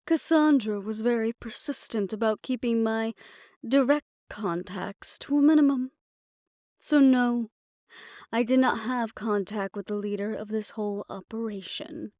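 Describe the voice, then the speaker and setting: A woman's voice: a little high, a little slow, a little mellow, no echo, studio quality, close to an everyday voice. A woman playing a confident, scheming female magic researcher in a scripted fantasy audio roleplay. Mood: guarded, brisk.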